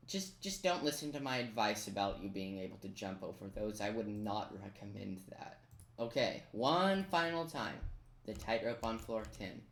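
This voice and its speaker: A man giving a casual, step-by-step game walkthrough with playful asides. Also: mid-range voice. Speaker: gamer giving a tutorial. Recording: roomy echo, noise, keyboard clicking. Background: computer keyboard typing and clicks.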